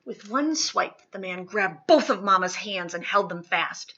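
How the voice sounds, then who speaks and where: A woman reading a children's historical story aloud, expressively and dramatically, with emphatic swells and hushed drops. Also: medium pitch, animated, a little slow, husky, muffled recording. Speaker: storybook reader.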